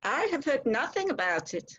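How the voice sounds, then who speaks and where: Woman playing proper, animated Victorian hostess, dull sound. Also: sits high, moderate pace. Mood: polite, reserved. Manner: formal, polite. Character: earnest, proper, reserved Victorian wife and hostess. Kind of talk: stage drama dramatic reading.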